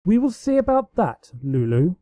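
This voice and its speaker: A man's voice: sits high, sing-song, moderate pace, warm tone, dry recording, pitched above the performer's own voice. A man voicing a regal elder pony princess in a storybook, teasing and mock-menacing as she commands. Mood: mock threatening. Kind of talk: amateur storybook character reading.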